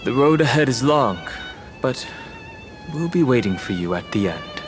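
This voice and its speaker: A solemn, kindly man from a game, speaking in a grave and reassuring way, as an actor voices him. His pitch moves a lot across a mid-range voice. Faint music lies underneath. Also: big pitch swings, moderate pace, natural voice. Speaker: game character.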